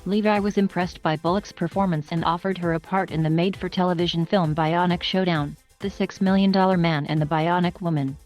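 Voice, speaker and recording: A woman reading a scripted biography narration in an even, flat manner. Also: on the low side, fairly quick, hardly any pauses. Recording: faint music underneath.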